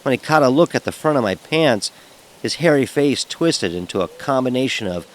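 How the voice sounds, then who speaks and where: A man's voice: mid-range voice, lively intonation, moderate pace, slight background noise, lightly acted, rough recording. An actor playing a frightened, sarcastic young fraternity pledge who narrates his story in a wry, vivid, emotionally charged way. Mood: wry, uneasy. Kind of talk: first-person dramatic horror audio narration. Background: music.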